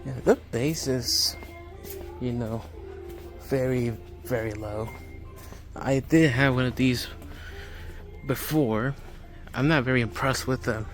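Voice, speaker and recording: A man's voice: medium pitch, slow pace, pauses often. A man giving casual, matter-of-fact walk-through commentary as a hobbyist vlogger inside a large store. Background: background music in a store.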